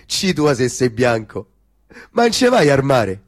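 A man's voice: medium pitch, big pitch swings, slow pace. A man playing a crude, teasing Roman tough in stage dialogue, speaking blunt dialect with a Roman accent. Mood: coarse, mocking. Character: crude, mocking, hungry Roman slaughterhouse tough guy.

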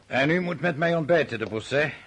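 A man acting an imperious, brooding French emperor on campaign in a radio play, with a commanding, declamatory manner and a husky voice. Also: fairly high pitch, animated, fairly quick, a bit bright, heavily acted. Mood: proud, commanding.

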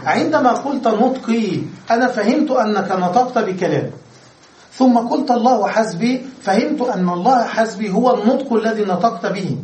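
A man with a high voice gives a patient grammar lesson, explaining step by step and checking that his class understands. He is recorded from a distance in a roomy, echoing lecture room. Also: moderate pace, darkish tone, a little hiss or hum. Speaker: Arabic grammar teacher. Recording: echoey room, mic far off.

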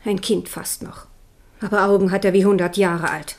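A woman's voice: medium pitch, steady tone, normal speed, lightly acted. An actress playing a proud, passionate Protestant queen and mother in a 1970s radio drama, indignant and fervent.

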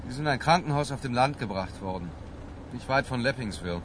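A middle-aged husband played by an actor in a radio drama, a smooth, evasive liar. His pitch leaps around as he placates and gets agitated, with clear noise behind him. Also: lively intonation, fast, natural voice. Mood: falsely cheerful. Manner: placating, swinging from muttered to loud.

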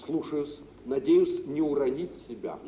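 An actor playing a breezy, urbane young merchant with mock-deferential politeness, in old radio theatre style. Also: male voice, a little high, a little slow, lightly acted. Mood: mock-polite. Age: young adult. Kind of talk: 1950s radio theatre acting. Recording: muffled old radio recording, echoey, noisy.

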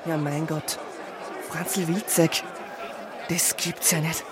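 A brusque guard in a radio play. His voice is high and curt, set in a busy crowd with clear outdoor noise. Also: flat delivery, slow. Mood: urgent, familiar. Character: brusque male soldier guarding a station entrance.

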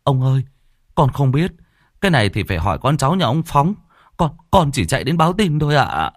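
A man's voice: medium pitch, sing-song, brisk, no room sound, clean studio sound, voice pushed up. A man voicing a panicked young village man who is out of breath, stammering as he reports news.

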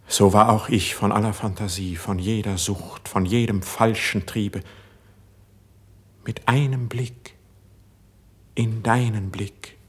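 An actor playing a passionate, melancholic young court poet in solemn, declamatory classical verse for radio drama. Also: male voice, lowish voice, breathy edge. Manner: solemn, measured, declamatory delivery.

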